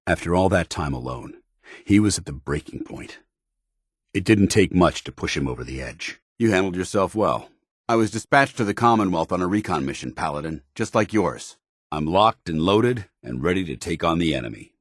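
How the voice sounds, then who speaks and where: A dutiful paladin in power armor from a video game. His voice is very deep and recorded very dry. He speaks with stern, formal military bearing, clipping his phrases like an officer. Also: expressive, normal speed, slightly rough, dry recording. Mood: earnest, measured. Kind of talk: video game companion dialogue acting.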